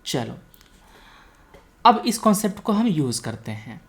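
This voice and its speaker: A woman's voice: low voice, big pitch swings, unhurried. A woman with an Indian accent giving an explanatory lecture as a teacher, repeating key points and checking for understanding. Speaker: teacher, exam coaching instructor.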